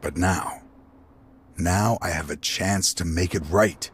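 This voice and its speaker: A grieving old father in a game cutscene, his voice very deep and his pace slow and heavy. He sighs often and sounds worn down by regret. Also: slight background noise. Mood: pleading, eager. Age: older. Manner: weary, slow, sighing. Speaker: video game character.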